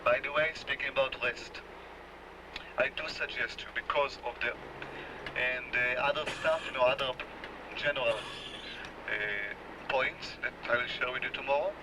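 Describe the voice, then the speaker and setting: An Israeli-accented man greets a tour group in a joking, chatty way and explains things slowly. He is picked up from a distance on an old home video. Loud road noise runs behind him. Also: mid-range voice. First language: Hebrew. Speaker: tour guide. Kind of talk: tour bus welcome talk.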